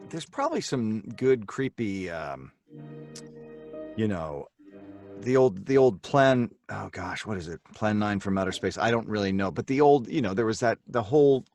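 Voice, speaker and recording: Man, fairly low voice, casual panel chat, self-mocking humor, faint music. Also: darkish tone. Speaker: voice actor, live-stream panelist.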